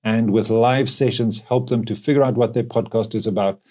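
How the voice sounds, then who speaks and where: A man's voice: fairly low pitch, steady tone, moderate pace, mellow. A man from South Africa speaking conversationally as a company co-founder, explaining things expressively. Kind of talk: conversational interview.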